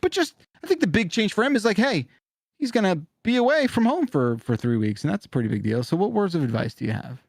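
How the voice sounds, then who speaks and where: A middle-aged man hosting a call-in podcast in casual chat, often halting and restarting his sentences. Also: sits high, big pitch swings, dry recording, clean studio sound. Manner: rambling, halting, self-interrupting. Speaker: podcast host of a call-in show.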